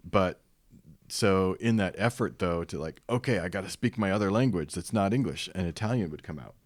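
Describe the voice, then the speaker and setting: Deep-voiced man with a smooth radio voice. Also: fairly quick. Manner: relaxed, rambling storyteller with many restarts. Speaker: podcast guest. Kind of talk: casual chat. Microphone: podcast microphone.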